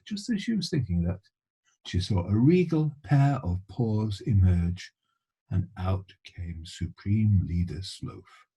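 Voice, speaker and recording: A man reading a children's story aloud in an expressive storytelling style. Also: sits low, slow, breathy edge. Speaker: story reader.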